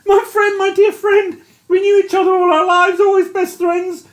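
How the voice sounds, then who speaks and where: A narrator voicing a young British army captain who is shattered and sobbing, in a high, wailing, breaking voice. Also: sing-song, a little fast. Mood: distraught. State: crying.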